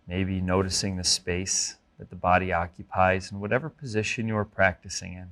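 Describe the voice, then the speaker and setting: Low-pitched man guiding a meditation. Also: steady tone. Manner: gentle, measured, instructive. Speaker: mindfulness meditation instructor. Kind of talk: guided body scan meditation.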